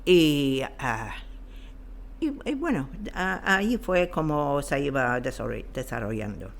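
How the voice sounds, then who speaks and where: A man giving an informal historical explanation as an interview guest, halting and searching for his words. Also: mid-range voice, sing-song, creaky, a bit bright, a little hiss or hum.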